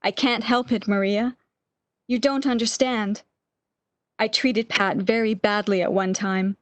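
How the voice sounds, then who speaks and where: A woman's voice: medium pitch, steady tone, a little slow, dry recording, close to an everyday voice. A woman acting in a radio play as an anxious, regretful, bedridden sick woman with a fretful, pleading manner.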